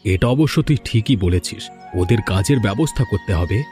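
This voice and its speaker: A man voicing a grumbling village man in a cartoon fairy tale, speaking in deliberate dialogue. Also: fairly low pitch, animated, a little mellow, soft background music, natural voice. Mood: weary, resigned. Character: male village thief and weary elder brother, grumbling.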